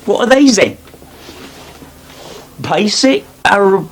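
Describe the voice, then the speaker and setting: Cheeky husky-voiced schemer. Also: male voice, high-pitched, lively intonation, extremely slow, full character voice. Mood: wily, persuasive. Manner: teasing, sly, needling. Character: cheeky scheming rural farm lad, teasing and wily. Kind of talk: British radio soap acting.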